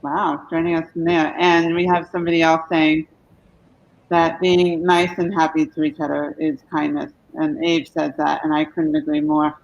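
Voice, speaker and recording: A woman hosting a conversational live Q&A, affirming what others say and pausing as she goes. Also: sits low, flat delivery, vocal fry. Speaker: host of live Q&A. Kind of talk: conversational interviewing, reading viewer comments. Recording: dull lo-fi stream with background noise.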